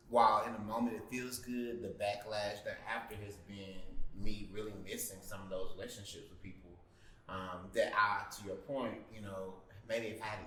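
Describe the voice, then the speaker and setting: A man sharing personal reflections in a group discussion, thoughtful and measured, with pauses. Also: fairly low pitch, sharp tone. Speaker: panelist. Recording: echoey room, distant mic, faint noise.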